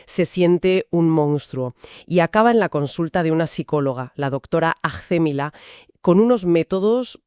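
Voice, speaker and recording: A woman's voice: sits low, animated, moderate pace, a little mellow, clear, resonant tone, dry recording, studio quality. A woman co-hosting a book discussion, giving emphatic, conversational literary commentary.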